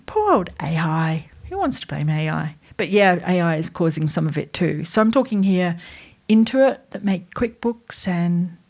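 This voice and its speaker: A woman with an Australian accent and a slightly croaky voice, explaining career changes in a conversational solo video with wry asides. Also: medium pitch, a little mellow. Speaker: educator on careers and AI.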